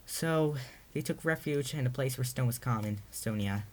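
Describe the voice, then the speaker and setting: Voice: mid-range voice, moderate pace, a little hiss or hum. A narrator reading their own story aloud in a storybook read-aloud style.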